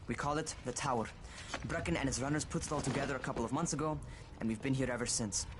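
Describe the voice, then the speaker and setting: An actor playing a brash, bossy young runner in a video game, curt, scolding and defensive. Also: male voice, medium pitch, fast, noisy background, natural voice. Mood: matter-of-fact. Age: young adult.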